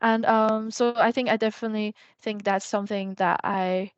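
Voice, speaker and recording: A woman's voice: medium pitch, fairly even intonation, normal speed, clear, resonant tone, no room sound, lo-fi sound. A young woman from China, a Mandarin speaker, talking in English as a podcast guest. She rambles and fills her sentences with "like" and "um". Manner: rambling, heavy use of "like" and "um". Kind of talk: casual podcast interview.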